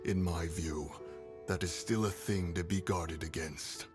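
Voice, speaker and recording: A grave, ancient guardian spirit from a game speaks with slow suspicion. His voice is very deep and unhurried. Soft music sits beneath. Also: fairly even intonation. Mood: wary. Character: stern, wary ancient male guardian spirit.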